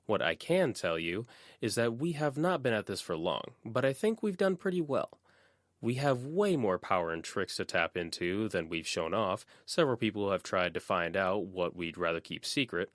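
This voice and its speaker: A man's voice: lowish voice, sing-song, dry recording, clean studio sound. Man reading as a guarded, composed teenage girl. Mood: cool, firm. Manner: quiet, measured, evasive.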